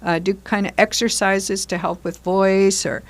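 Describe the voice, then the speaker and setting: A woman's voice: medium pitch, fairly even intonation, a little slow. An older woman, a community theater member, talking casually in an interview in loose, chatty explanations. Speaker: member and performer in a seniors' readers theater group.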